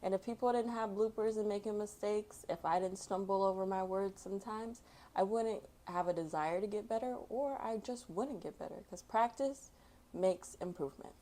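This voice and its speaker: A woman hosting a storytime, reading aloud for children in an expressive way and chatting casually between passages. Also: medium pitch, normal speed. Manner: expressive, acts out sound effects and exclamations. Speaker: storytime host reading a picture book.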